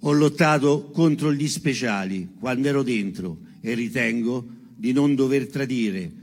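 A man giving a dramatic, declaimed reading of a statement in emphatic, measured phrases. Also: medium pitch, slightly rough. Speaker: performer reading a testimony. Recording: long reverberant hall echo.

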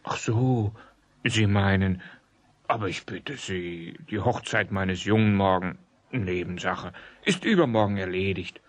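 An actor playing a proud, effusive provincial antiquarian in middle age, florid and courteous, in a German radio play. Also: male voice, sits low, a little slow.

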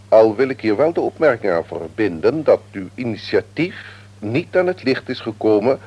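An actor playing a stern, pompous company executive in a radio play, with officious, formal phrasing. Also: male voice, fairly low pitch, darkish tone, husky. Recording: old radio play recording.

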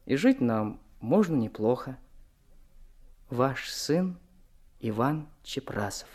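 Earnest, stubborn young truck driver. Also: male voice, medium pitch, lively intonation, a little mellow. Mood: proud, earnest. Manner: emphatic, plain-spoken, deliberate. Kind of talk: 1970s Soviet radio play acting.

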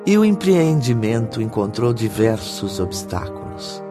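A man's voice: on the low side, sing-song. Male radio drama narrator over music. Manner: formal, measured storytelling.

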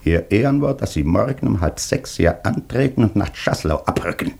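An actor playing a gruff, domineering older army colonel in a German radio drama, barking curt commands. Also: male voice, on the low side, expressive, normal speed, a little mellow, full character voice. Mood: gruff, urgent.

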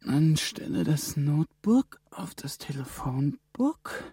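A frantic young intern on the run. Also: male voice, on the high side, very animated, slow pace, dark tone, husky, no room sound, clean studio sound, exaggerated voice. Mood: muttering. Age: young adult. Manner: anxious inner monologue, muttering to himself. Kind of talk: radio play acting.

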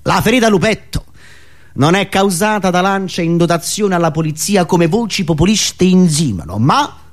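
Guy doing a puffed-up official in a satire show, high pitched, botching big words. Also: expressive, normal speed. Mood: solemn, officious. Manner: pompous, bureaucratic, mangling words. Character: pompous semi-literate Italian official reading a forensic report.

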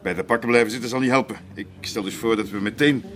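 An actor with a Flemish accent playing a formal, measured, somewhat evasive museum curator in an audio drama. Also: male voice, fairly low pitch, fairly bright tone, lightly acted. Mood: grave. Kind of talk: naturalistic audio drama acting. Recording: echoing hall, background noise.

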